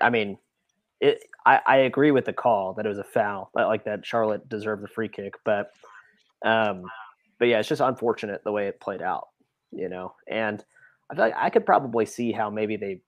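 A man's voice: lowish voice, no echo. A man chatting casually as a panelist on a sports podcast, tentatively rephrasing his points as he goes.

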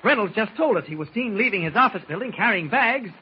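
Eager radio serial reporter, high voice, talks fast and decisive, muffled old recording. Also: male voice, lively intonation, husky, exaggerated voice. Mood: urgent reasoning. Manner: brisk, decisive, explanatory. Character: eager, quick-witted male newspaper reporter. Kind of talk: 1940s radio acting.

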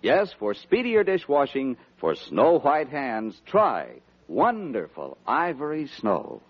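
A man's voice: mid-range voice, huge pitch swings, slow, soft background music. A man reading a scripted product advertisement in 1940s radio announcer style. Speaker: commercial announcer. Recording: dull lo-fi 1940s radio recording.

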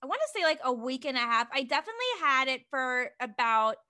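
A woman's voice: high-pitched, lively intonation, quick pace, sharp tone. A woman hosting a podcast in casual chat, chatty and giggly, with lots of "like" fillers. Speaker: podcast host.

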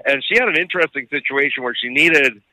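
A man's voice: mid-range voice, fast, bright, forceful tone, no room sound. A man co-hosting a sports podcast with casual game commentary, on dull lo-fi audio.

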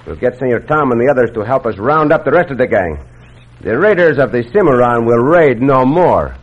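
An actor playing a gallant, confident Mexican cowboy hero with a courtly, theatrical manner and a Mexican accent. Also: male voice, mid-range voice, animated, brisk, lightly acted. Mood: triumphant. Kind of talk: 1950s Western radio acting. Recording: muffled, very noisy old radio recording.

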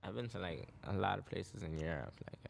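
Gravelly young Toronto man with island lilt. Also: low-pitched, expressive, fairly quick. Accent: Toronto with Jamaican influence. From Canada. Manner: laid-back, heavy on fillers and slang. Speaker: rapper guest. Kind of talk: casual podcast chat.

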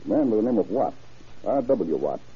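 An actor playing a careful, factual bank official in a vintage radio crime drama, listing details deliberately. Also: male voice, fairly low pitch, sing-song, slightly rough, exaggerated voice. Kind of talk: 1940s-50s radio crime drama acting. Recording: dull vintage radio recording.

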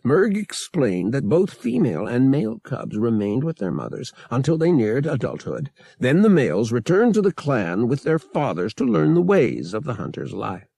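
A man narrating a science fiction novel in a measured, expressive storytelling manner. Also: medium pitch, creaky, husky, no echo. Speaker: audiobook narrator.

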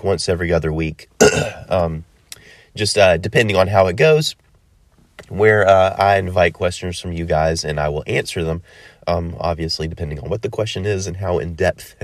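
A man's voice: low-pitched, no echo, studio quality. A man hosting a solo podcast in a casual, rambling way with many ums and uhs, sounding stuffy from a cold. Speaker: podcast host.

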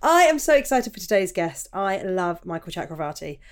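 A woman's voice: lowish voice, very animated, normal speed. A woman hosting a podcast, chatting with gushing enthusiasm over a video call. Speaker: podcast host. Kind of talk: casual interview chat.

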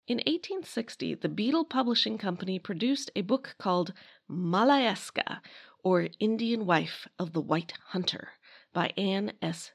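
A woman's voice: mid-range voice, gravelly. A woman narrating a scripted history talk in a conversational way, with wry asides. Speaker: podcast host and narrator.

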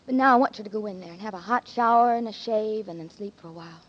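A woman's voice: medium pitch, fast, close to an everyday voice. An actress playing a devoted, anxious young woman in love in a 1940s radio drama, with breathless, tender, melodramatic delivery. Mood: tender, pleading. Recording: muffled vintage 1940s radio recording.

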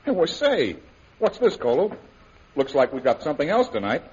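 An actor playing a steady, good-natured adventurer, plain-spoken and folksy, in 1930s radio serial style. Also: male voice, fairly high pitch, normal speed, slightly rough, full character voice. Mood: curious, pleased. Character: steady, good-natured adventurer hero, male, hopeful captive. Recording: muffled 1930s radio recording.

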